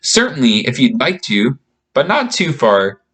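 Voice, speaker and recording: A man's voice: medium pitch, big pitch swings, a little slow, a bit bright, slightly rough, heavily acted. A man voicing a friendly, reassuring young girl in a storybook reading, chatting and explaining things simply.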